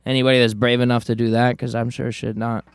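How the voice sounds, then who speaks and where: A man's voice: medium pitch, level tone, fast, smooth, no room sound, studio quality. A young man from South Florida, a guitarist guest on a podcast, telling stories in a loose, rambling, profane way. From South Florida, Cuban family. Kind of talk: casual podcast chat.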